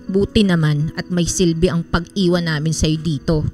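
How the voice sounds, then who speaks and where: A woman's voice: on the low side, fairly even intonation, normal speed, a little mellow, soft background music, natural voice. An actress playing a bitter, middle-aged wife and mother in a radio drama, nagging and sarcastic. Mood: irritated.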